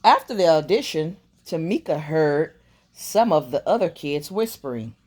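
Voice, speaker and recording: A woman reading a children's picture book aloud in an expressive way, with quiet murmured asides, as a bedtime storyteller. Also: low voice, normal speed.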